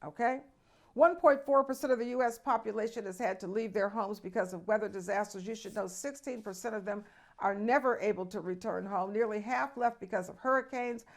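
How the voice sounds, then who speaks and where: A woman hosting a news show, reading the stories with chatty, emphatic asides to viewers. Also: mid-range voice. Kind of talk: news commentary.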